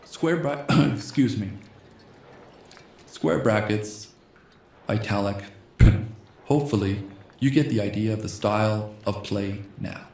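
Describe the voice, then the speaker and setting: Low slightly hoarse guy reading a text game aloud asmr style, says the punctuation, echoey room with a bit of hiss. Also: takes it slowly, pauses often, a little mellow. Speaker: host.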